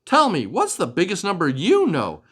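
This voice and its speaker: A man voicing an eager, wide-eyed, excitable little male butterfly in a storybook read-aloud. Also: sits high, normal speed, breathy edge, full character voice. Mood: eager, curious. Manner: excitable, inquisitive.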